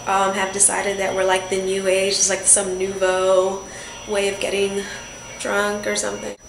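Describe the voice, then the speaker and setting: A woman's voice: mid-range voice, monotone, unhurried, vocal fry, background noise. Tentative young woman in an echoey room. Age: early 20s. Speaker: student interviewee. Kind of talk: casual interview.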